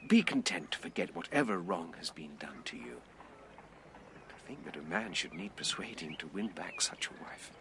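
A gentle, earnest literary friend in a period radio drama. He speaks formally and tactfully, with lively, pleading intonation over some noise. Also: low voice, moderate pace. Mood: urgent pleading.

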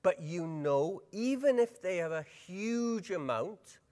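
A Welsh man in middle age presents a workshop at a conference, his voice sitting a little high. He speaks in a lively, engaging way and keeps asking the audience questions. His voice rings out with the long reverb of a large hall. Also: slow.

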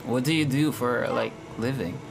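A man in his twenties from the Philippines chatting with strangers on video and singing slow ballads to them. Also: medium pitch, creaky, background noise. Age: 20s. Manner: sings slow ballads to guitar, then flirts with quick questions. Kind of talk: casual video chat with sung serenades. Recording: loud guitar music behind the voice.

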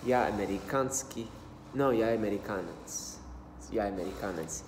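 A man in his thirties with an American accent, practicing a new language aloud at his desk, repeating words slowly and haltingly. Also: lowish voice, noisy background. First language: English. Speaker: language learner. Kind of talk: self-study vlog, reading phrases aloud. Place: small room indoors. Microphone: laptop webcam at desk. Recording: roomy echo with long reverb.

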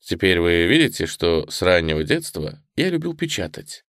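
A man reading a first-person audiobook as scripted narration, with lively phrasing that trails off softly at the ends. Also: low-pitched, moderate pace, dry recording, clean studio sound.

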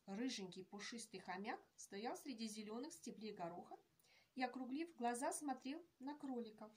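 A woman in her forties reads a children's fairy tale in a gentle, measured way, her voice fairly high and a little husky. She is recorded indoors on a webcam at desk distance. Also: animated. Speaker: author reading her own tale. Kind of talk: children's story reading. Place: indoor room with painted canvases.

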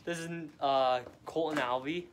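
A young man vlogging casually on a phone held at arm's length, joking and chattering quickly. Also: fairly high pitch, steady tone, background noise. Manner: goofy, jokey, hurried chatter. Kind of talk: casual vlog. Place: house rooms and outdoors at night.

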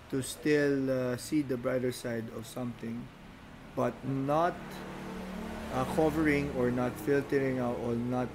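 Filipino man, non-native speaker, podcast guest, halting delivery, busy crowd chatter behind. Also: mid-range voice, rough recording. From Philippines. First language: Filipino languages. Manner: halting, many restarts and fillers. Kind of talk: casual chat.

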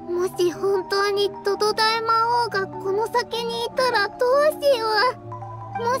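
A woman voicing a small, earnest little girl in a Japanese anime game, with a high childlike voice and simple childish phrasing. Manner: refers to herself by name, simple childish phrasing. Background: music.

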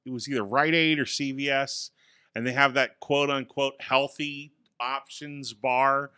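Bright, crisp-voiced man on a podcast. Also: mid-range voice, fairly even intonation, a little slow, dry recording, studio quality. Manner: easygoing, self-deprecating storytelling. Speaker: podcast co-host. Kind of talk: casual tech podcast chat. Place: small home studio with acoustic panels. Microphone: studio mic on boom arm.

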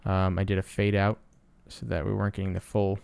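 A man presenting a casual sound design tutorial in a low-key, explanatory way. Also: monotone, a little fast, creaky, a little mellow, clear, resonant tone, no room sound. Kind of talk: casual screen-recorded tutorial narration.

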